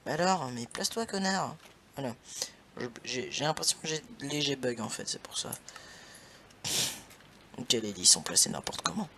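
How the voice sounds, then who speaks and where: A man's voice: medium pitch, animated, moderate pace, pauses often, bright, forceful tone, a little hiss or hum. A young man hosting a casual gaming session, laughing often and swearing playfully at his partner. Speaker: gaming channel host.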